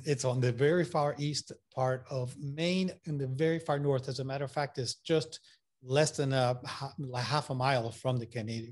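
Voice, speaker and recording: A man's voice: medium pitch, darkish tone. A man with an accent that mixes Puerto Rican and Massachusetts sounds, talking as an interview guest. He is enthusiastic and loose, piling on fillers and restarting his sentences as he goes. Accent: mixed Puerto Rican and Massachusetts. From Puerto Rico. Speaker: photographer guest. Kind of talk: casual interview talk.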